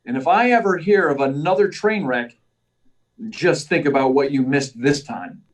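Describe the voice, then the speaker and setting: A man's voice: fairly high pitch, moderate pace, lightly acted. Man playing a confident superhero, slightly distant. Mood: coolly commanding. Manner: declamatory, self-assured, narrating his own actions. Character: confident, stalwart male superhero. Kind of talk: 1940s radio serial acting.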